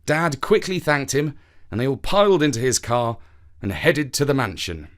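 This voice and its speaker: A man narrating a children's story in an expressive storytelling manner. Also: mid-range voice, normal speed.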